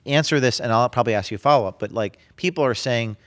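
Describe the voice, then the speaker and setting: A man's voice: mid-range voice, quick pace, a bit bright. A man interviewing a guest, asking questions in a hesitant, stammering way.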